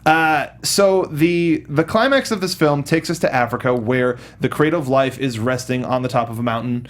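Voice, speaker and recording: A man's voice: mid-range voice, moderate pace. A man co-hosting a casual movie review chat in a joking, sarcastic, sometimes profane way. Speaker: podcast co-host.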